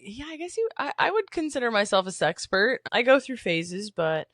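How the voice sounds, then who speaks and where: A young adult woman with a deep voice, a podcast guest talking candidly and chatting easily. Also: very animated, moderate pace, a bit bright, no room sound, clean studio sound. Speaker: podcast guest, boudoir photographer. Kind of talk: casual chat.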